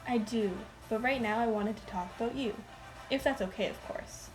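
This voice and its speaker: A woman playing a patient but firm, sometimes frustrated schoolteacher in a radio play, speaking in a measured, polite way. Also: fairly high pitch, faint music behind, close to an everyday voice, rough recording. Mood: polite, probing. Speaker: actor and narrator in a radio play. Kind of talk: first-person radio drama narration and dialogue.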